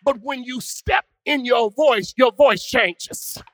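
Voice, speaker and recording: A pastor preaching a sermon with call-and-response, swinging from hushed musing to shouted, sung climaxes. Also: male voice, extremely high pitch, slow, no echo. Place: church.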